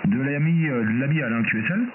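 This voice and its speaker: A man's voice: medium pitch, fast talker, far from the mic. A man with an accent from France chatting casually and a little hesitantly as an amateur radio operator over a shortwave link. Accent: French from France. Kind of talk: casual ham radio contact. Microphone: heard over radio receiver, distant. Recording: noisy narrow-band shortwave radio with hiss.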